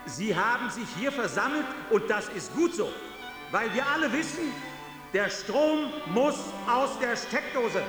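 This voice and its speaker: An actor playing a pompous, self-satisfied ruler declaiming a political speech in a radio play. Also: male voice, extremely high pitch, heavily acted. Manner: pompous, declamatory, slow oratory. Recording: long echoing hall reverb, loud music.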